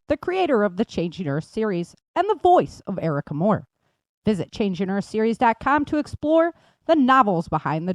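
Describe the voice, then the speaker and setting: A woman's voice: medium pitch, dry recording. A woman host reading scripted sponsor messages and promos for her own series.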